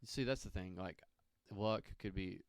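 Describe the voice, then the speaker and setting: A man from Mississippi co-hosting a podcast, chatting casually in a relaxed, rambling way and laughing often. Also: low-pitched, unhurried, no room sound. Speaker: podcast co-host. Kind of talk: casual chat.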